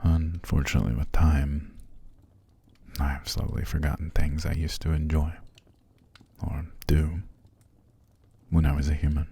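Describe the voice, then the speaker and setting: A man playing a possessive, seductive vampire master in an ASMR roleplay, calm and commanding, slow and formal, often sinking to a gravelly whisper. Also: a bass voice, full character voice. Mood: dreamy, wistful. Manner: slow, formal, soothing but controlling.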